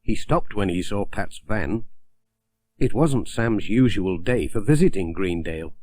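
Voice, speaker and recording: A man's voice: lowish voice, expressive, unhurried, darkish tone. A man narrating a children's story from a script, as an audiobook reader.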